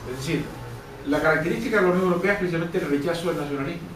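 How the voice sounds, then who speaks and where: A man's voice: fairly high pitch, rapid-fire. A man answering questions at a book presentation, emphatic and repeating key phrases. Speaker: author and professor speaking at a book presentation. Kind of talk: public discussion, answering audience questions. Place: event room, distant mic. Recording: roomy, distant mic, faint noise.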